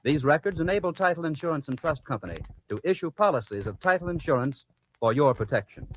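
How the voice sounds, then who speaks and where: A man's voice: on the high side, lively intonation, fast. A man reading a formal script as an old-time radio announcer. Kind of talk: formal scripted radio narration and sponsor message. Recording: dull, noisy old radio recording.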